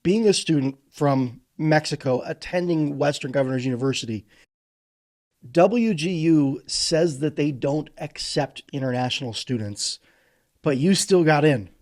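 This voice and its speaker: A man's voice: fairly high pitch, a little slow, a little mellow. A man hosting a casual interview, asking encouraging questions with enthusiasm. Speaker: host.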